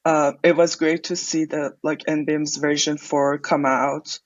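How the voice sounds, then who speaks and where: A woman's voice: low voice, little pitch movement, moderate pace, no echo, dull audio. A woman answering casually and conversationally as an interview guest.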